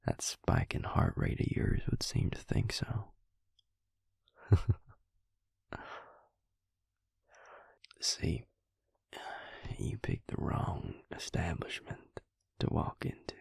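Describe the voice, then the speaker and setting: A man playing a devious, smug male vampire in an intimate audio roleplay, taunting his victim slowly in a breathy, gravelly whisper. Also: a bass voice, wildly swooping pitch, no echo, heavily acted. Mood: smug, menacing. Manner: slow, taunting, self-satisfied.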